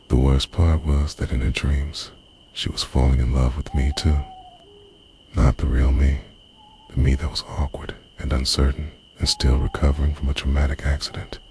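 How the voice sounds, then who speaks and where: A man's voice: a bass voice, a little mellow, slight background noise. A man playing a lovestruck, obsessive dream invader in an intimate ASMR monologue, confessional, brooding and half-whispered. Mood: yearning, guilty.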